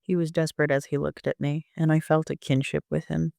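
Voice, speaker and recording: Even-toned man narrating, smooth and clear. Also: a little high, brisk, no echo, studio quality. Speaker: amateur narrator and author. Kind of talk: first-person audiobook narration.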